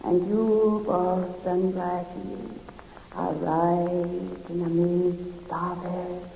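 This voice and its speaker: An actress playing a solemn, portentous fortune-teller medium in the style of 1940s radio drama. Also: female voice, lowish voice, flat delivery, extremely slow, gravelly, dark tone, full character voice. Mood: ominous. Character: theatrical, ominous fortune-teller medium, Madame Zena. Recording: old radio, echoey hall, music behind.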